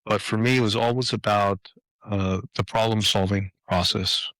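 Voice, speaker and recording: A man in his fifties from Greece talks as a guest on a podcast, reflective and pausing to restart his sentences. His voice sits low, and the recording is dry and close. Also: steady tone, a bit bright, dry recording, rough recording. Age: 50s. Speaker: guest, design leader. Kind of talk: interview answers. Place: apartment.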